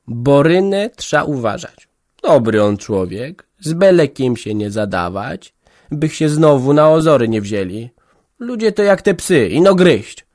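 A man's voice: mid-range voice, big pitch swings, unhurried, darkish tone, dry recording, studio quality, pitched above the performer's own voice. A man voicing a stern, anxious old peasant mother who scolds and lectures in folksy speech. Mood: urging.

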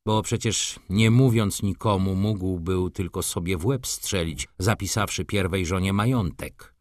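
A man playing a reserved, polite gentleman in a novel, subdued and measured. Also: low voice, no echo, studio quality. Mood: calm, polite. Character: reserved, shy, ailing gentleman, politely firm.

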